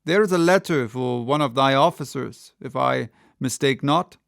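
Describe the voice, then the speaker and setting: A man voicing a stern, commanding old sea captain with a gruff, imperious manner and archaic speech in an audiobook. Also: medium pitch, moderate pace, a bit bright, no echo, clean studio sound. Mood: brisk command.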